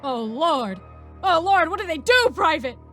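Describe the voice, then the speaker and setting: A woman voicing a loud, bloodthirsty male sergeant in a space army who barks orders. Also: very high voice, expressive, moderate pace, soft background music, voice pushed up. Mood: barking. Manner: barking drill-sergeant shouts.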